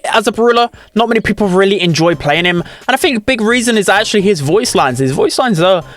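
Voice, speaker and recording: Quick casual gaming host, higher-pitched man. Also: expressive, a bit bright, dry recording. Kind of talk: casual opinion commentary.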